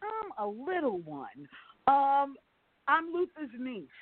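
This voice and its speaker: A woman's voice: sits high, sing-song, slow pace, no echo. Woman guest on a tinny phone line. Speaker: interview guest, company founder. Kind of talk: casual conversational storytelling.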